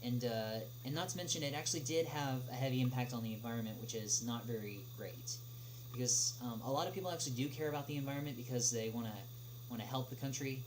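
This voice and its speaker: Hesitant man livestreaming over noisy hum. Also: mid-range voice. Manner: hesitant, halting delivery with long pauses. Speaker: livestream host answering viewer questions. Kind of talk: casual livestream chat, question and answer.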